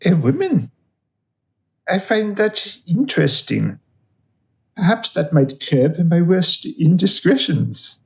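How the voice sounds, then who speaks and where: A coolly unrepentant male killer, played by a man in a radio drama. His voice crackles with gravel and takes its time, with darkly relishing swings in pitch. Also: sing-song, slow pace, long pauses, full character voice. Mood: intrigued. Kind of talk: radio play acting. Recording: studio radio play.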